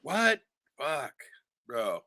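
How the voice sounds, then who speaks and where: Profane bright-voiced man in his fifties. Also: on the high side, sing-song, extremely slow, dry recording, studio quality. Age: 50s. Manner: profane, loud, laughing. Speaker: livestream co-host. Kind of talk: casual livestream chat.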